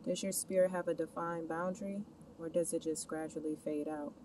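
A woman with a notably low voice narrates a guided meditation. She speaks in scripted, instructional phrases addressed straight to the listener. The mic sounds distant, with clear background noise around her. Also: steady tone, moderate pace. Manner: instructional, addressing the listener with gentle imperatives and questions.

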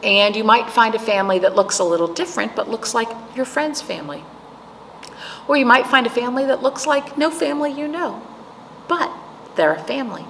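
A woman's voice: fairly high pitch, animated, fairly quick, a bit bright. A middle-aged woman hosting storytime as a children's librarian, reading aloud and chatting to young listeners in simple phrases with questions. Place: indoor library room, seated before patterned wall. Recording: echoey room with clear background noise.

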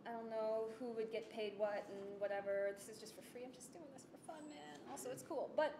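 A young adult woman giving a casual presentation, chatty, animated and self-deprecating. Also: a little high, brisk, crowd noise. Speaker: student presenter of an audio drama project. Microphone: desktop microphone on the table. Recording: roomy, echoey sound with music behind.

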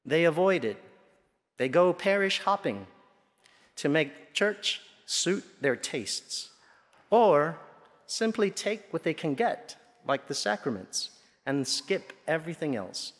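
A middle-aged man delivers a homily from the pulpit of a large church. His voice sits a little high for a man, and he speaks deliberately, pausing between phrases. The sound carries a long hall reverb. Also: very animated. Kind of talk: Sunday homily. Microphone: pulpit gooseneck and lapel microphone.

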